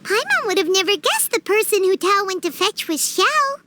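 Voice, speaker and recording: A woman voicing a tiny, excitable, blunt fairy girl with a squeaky childlike voice in a game dub. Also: normal speed, a little hiss or hum, exaggerated voice. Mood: surprised. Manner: speaks of herself in third person, exclamatory. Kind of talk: game cutscene dub acting. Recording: music behind the voice.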